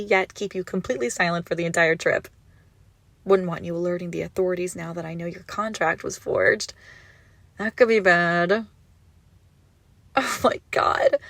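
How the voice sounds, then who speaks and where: A woman's voice: medium pitch, fairly bright tone, no echo. Woman acting obsessive lovestruck yandere, close and dry. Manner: breathy doting coos, often dropping to whispers. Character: obsessive, gushing yandere kidnapper woman, lovestruck and menacingly sweet. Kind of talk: roleplay audio drama, intimate monologue to listener.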